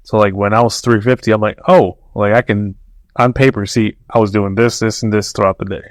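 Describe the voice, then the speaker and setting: A man's voice: on the low side, fairly even intonation, fairly quick, no echo, studio quality. Laid-back profane man in casual chat. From Mexico. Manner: loose, profane, laughing a lot. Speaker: podcast host.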